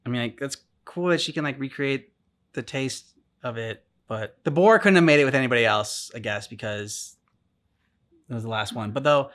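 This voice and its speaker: Casual, joking man with a medium-pitched voice. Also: moderate pace, a bit bright. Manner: joking, informal, rambling. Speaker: reaction show co-host. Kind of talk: casual reaction chat.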